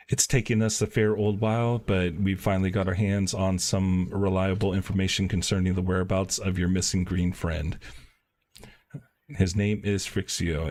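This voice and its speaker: A man's voice: deep voice, a little mellow. A man reading game dialogue aloud on a livestream, sometimes stumbling and going back over phrases.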